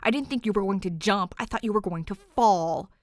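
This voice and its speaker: A woman's voice: medium pitch, brisk, dry recording, clean studio sound, close to an everyday voice. A woman voicing a stressed, protective young man with a tense, scolding way of talking in a fan fiction reading. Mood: stressed, exasperated.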